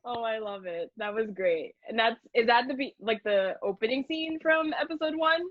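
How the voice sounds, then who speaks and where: A woman's voice: on the high side, normal speed, no echo, muffled recording. A woman interviewer chatting casually in a playful, rambling way. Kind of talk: casual chat.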